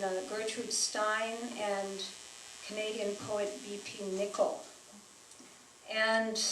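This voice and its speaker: A woman poet whose first language is German reads her work at a very slow, deliberate pace, pausing between phrases. Her voice sits in the middle of the range. It is picked up from across a roomy space, with faint noise underneath. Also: mid-range voice, recorded from a distance. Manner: slow, measured delivery with pauses. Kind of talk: poetry reading at a live event. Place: bookstore reading room with an audience.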